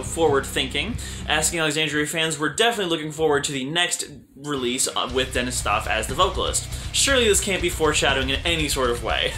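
Sarcastic young man over music. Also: fairly high pitch. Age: young adult. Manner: sarcastic, jokey asides. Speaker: music commentary host. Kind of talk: casual commentary. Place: room with shelves of CDs.

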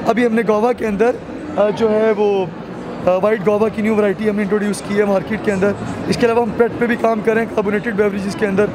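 A man's voice: high voice, little pitch movement, quick pace, a crowd in the background. A man in his thirties with a Pakistani accent, a company representative answering business questions in an interview into a handheld microphone. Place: busy indoor expo hall. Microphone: handheld reporter microphone. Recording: noisy crowded exhibition hall.

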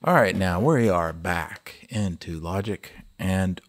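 A man with a low-pitched voice hosts a how-to tutorial. He explains in an informal, conversational way, as if talking a friend through a setup. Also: slow. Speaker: host of an audio tutorial.

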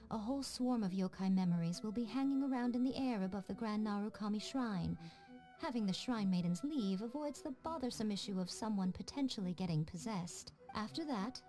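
A woman voicing a sly, composed fox shrine priestess in a video game, speaking in a smooth, teasing, unhurried way. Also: fairly high pitch, dark tone, close to an everyday voice.

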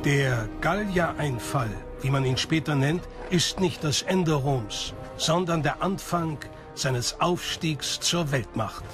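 High-pitched male documentary narrator over music. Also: slow, hardly any pauses. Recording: music behind the voice. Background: background music.

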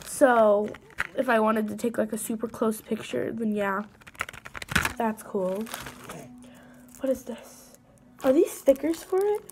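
A young woman vlogger with a stuffy nose from a cold, chatting excitedly as she unboxes things at a table. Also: a little high, slow, pauses often, noisy background. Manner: chatty, excitable, rambling with fillers. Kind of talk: casual unboxing chat. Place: indoors at a wooden table.

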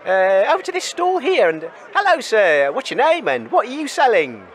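A man as an excitable, high-pitched bumbling radio reporter. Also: moderate pace, a faint crowd, full character voice. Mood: eager, flustered. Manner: flustered, hesitant, eager. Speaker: radio host and roving reporter. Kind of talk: comedic radio show reporting.

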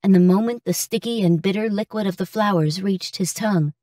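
A woman's voice: medium pitch, fairly quick, mellow, no echo, studio quality, recorded from a distance, lightly acted. A woman reading scripted fairy-tale narration as a storyteller.

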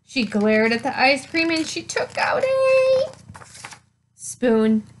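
A woman in her thirties reading a picture book aloud to children, with expressive, deliberate, emphatic phrasing. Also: sits high, background noise. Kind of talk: children's read-aloud storytelling.

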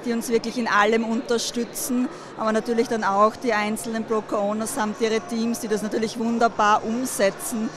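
Woman, flat voice, crowded hall. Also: fairly high pitch. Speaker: real estate agent. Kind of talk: event interview.

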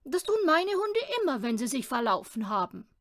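A woman voicing a boastful, bossy, excitable little man in a children's storybook, loud and pompous, given to pouting. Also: high-pitched, moderate pace.

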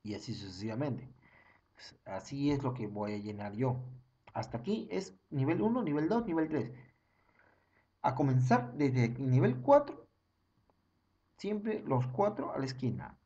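A man's voice: mid-range voice, animated, a little slow, pauses often, dark tone, muffled recording. A young man, teaching an online chemistry class over slides and counting through each step again and again. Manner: repetitive, step-by-step counting, frequent "ojo" and "ya".

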